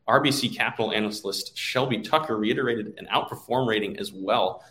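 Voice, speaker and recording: A man's voice: lowish voice, sharp tone. A man co-hosting a stock market show, talking through market commentary in a conversational style.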